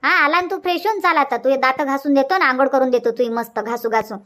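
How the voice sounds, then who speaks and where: Woman doing a fussy old granny, scolds and coaxes nonstop, highish voice. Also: a bit bright. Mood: scolding. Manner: scolding, coaxing, rapid homely chatter. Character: bossy fussing old village grandmother. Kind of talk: cartoon dubbing.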